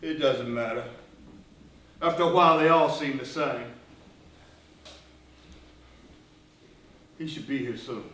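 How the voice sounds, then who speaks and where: A stage actor playing an earnest, weary male civil rights leader with a measured, reflective delivery, recorded in an echoey hall. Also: mid-range voice, a bit bright, natural voice. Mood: stern, terse. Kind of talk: live stage play acting. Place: indoor stage performance, distant microphone. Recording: echoey hall from far off, noisy.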